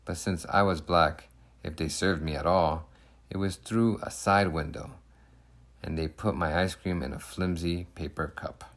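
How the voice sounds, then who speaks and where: A man reading a children's picture book aloud in the first person, with animated, emphatic delivery and deliberate phrasing for young listeners. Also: low voice. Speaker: storybook reader.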